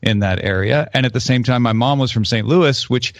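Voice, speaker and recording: A man's voice: medium pitch, brisk, no room sound, highs cut off. A man explaining thoughtfully on a casual podcast discussion. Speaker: podcast co-host.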